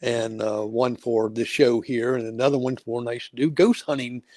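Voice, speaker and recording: Halting male host, dry close sound. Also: mid-range voice, steady tone, darkish tone, dry recording. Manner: halting, many fillers and restarts. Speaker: talk show host. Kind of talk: casual interview chat.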